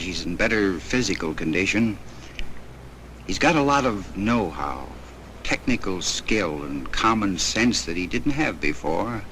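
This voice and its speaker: An old newsreel soundtrack with faint noise carries an American man's low voice. He speaks slowly and calmly, like a 1940s war correspondent, measured and plain-spoken.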